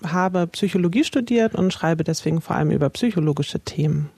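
A woman's voice: fairly low pitch, animated, brisk, warm tone, breathy edge, no echo, clean studio sound. A woman of about thirty, a journalist, speaking reflectively in an interview.

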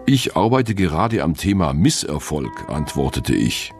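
A man's voice: a bass voice, very animated, a little slow, music in the background. A middle-aged man, an author, reading a wry, reflective first-person radio essay from a studio. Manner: reflective, wry, self-questioning.

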